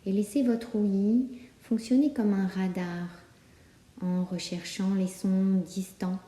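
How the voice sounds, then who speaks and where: Woman, meditation guide, very soft dark tone, unhurried, calm, pause-filled, roomy echo. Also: mid-range voice. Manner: calm, soothing instruction with many pauses. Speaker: yoga nidra guide. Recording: roomy, echoing sound.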